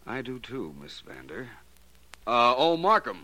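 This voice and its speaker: A man's voice: mid-range voice, wildly swooping pitch, measured pace, bright, forceful tone, slight background noise, exaggerated voice, poor audio. A man acting a suave, confident amateur detective in a 1940s radio mystery, urbane and courteous in manner. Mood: courteous, brisk. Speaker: radio actor. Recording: vintage 1940s radio recording.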